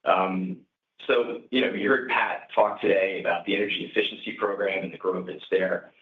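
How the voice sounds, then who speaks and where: A man speaking as a company executive, answering questions on an earnings call in a halting way peppered with "you know". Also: low voice, fairly even intonation. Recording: echoey, distant, phone-like conference call.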